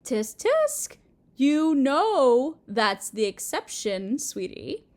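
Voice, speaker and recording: A woman playing a doting, possessive Mother Earth goddess in a roleplay audio drama, sweet and cooing as if to a small child, with gentle menace. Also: sits high, very animated, very slow, full character voice. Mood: chiding possessive.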